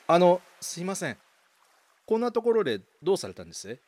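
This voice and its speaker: A man acting an envious, lovelorn young man in a radio drama, earnest, excitable and awkwardly polite. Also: sits high, a little fast, in a large hall, voice pushed up. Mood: eager, polite. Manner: excitable, earnest, stammering under nerves.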